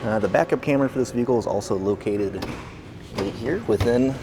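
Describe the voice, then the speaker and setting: A man, a car salesman, giving a casual walkaround tour of a vehicle at a dealership, talking in a relaxed, plain way with frequent fillers. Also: mid-range voice, brisk, over music.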